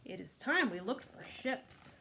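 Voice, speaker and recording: A woman's voice: a little high, sing-song, measured pace, vocal fry, pushed and bright, a little hiss or hum, full character voice. A woman voicing a bossy, no-nonsense male tabby cat in a children's storybook, firm and commanding.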